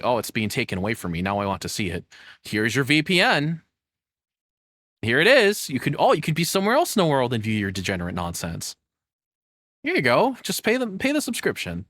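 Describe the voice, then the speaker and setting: A man's voice: mid-range voice, a bit bright, no echo, studio quality. A man giving casual, off-the-cuff reaction commentary, rambling and joking with some exasperation and swearing. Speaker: reaction streamer.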